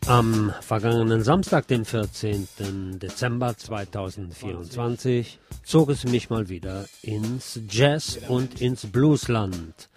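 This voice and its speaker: A man's voice: lowish voice, slow, over music. A man narrating a scripted first-person report as a reporter.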